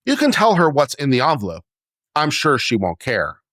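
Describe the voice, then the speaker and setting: A man reading a letter aloud as a dramatic performance, in a theatrical, expressive manner. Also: fairly high pitch, moderate pace, no echo, clean studio sound. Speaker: reader of a letter.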